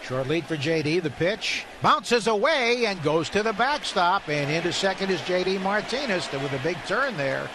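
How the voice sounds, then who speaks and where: A man's voice: sits high, sing-song, normal speed, few pauses. A man doing live radio baseball play-by-play in clipped, descriptive phrases from a stadium booth. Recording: loud ballpark crowd and ambient noise.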